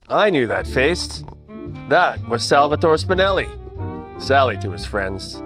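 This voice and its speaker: An actor playing a world-weary, wisecracking 1940s private detective, narrating in hardboiled radio-play style with deadpan asides. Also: male voice, mid-range voice, big-room sound, lightly acted. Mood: guarded. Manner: wry, colloquial, deadpan asides.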